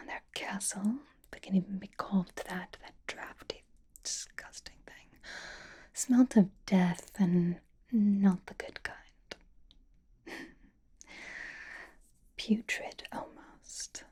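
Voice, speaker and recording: Casting: woman as a haughty, seductive vampire for ASMR roleplay, very breathy whisper, unhurried and languid. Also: mid-range voice, steady tone, mellow. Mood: disgusted. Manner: intimate, languid, disdainful, drawn-out phrasing with pauses. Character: possessive, haughty, flirtatious vampire doting on a mortal.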